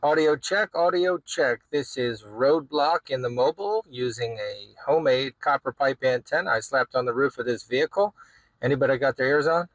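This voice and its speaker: A man's voice: medium pitch, expressive, normal speed, no echo, highs cut off. A man giving a casual, hands-on demonstration as a hobbyist video host, with informal, folksy wording. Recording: clear background noise, ambient sound.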